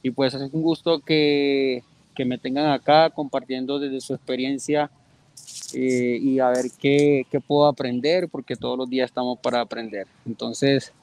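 A man's voice: mid-range voice, little pitch movement, normal speed, a little mellow. A man from Cartagena with a Colombian Caribbean coast accent, a school psychologist introducing himself politely with a few hesitant fillers. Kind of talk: radio-style talk introduction.

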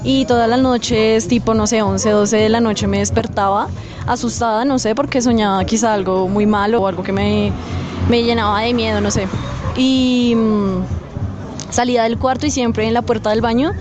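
A young woman telling a childhood story in a street interview, pausing hesitantly. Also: fairly high pitch, fairly even intonation, over music. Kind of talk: street vox pop, storytelling.

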